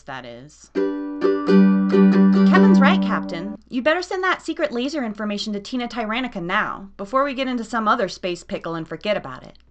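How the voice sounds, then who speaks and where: A woman playing a sassy, sharp-witted starship crewmate, teasing and expressive, in a comic sci-fi radio serial. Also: mid-range voice, natural voice.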